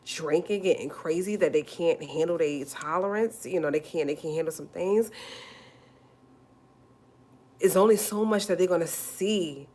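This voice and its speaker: A forty-year-old woman talks straight to her livestream viewers. Her voice sits very low, and she hammers her points home by repeating them. She calls listeners honey as she goes. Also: normal speed, darkish tone. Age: 40s. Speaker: livestream host. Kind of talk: casual livestream talk.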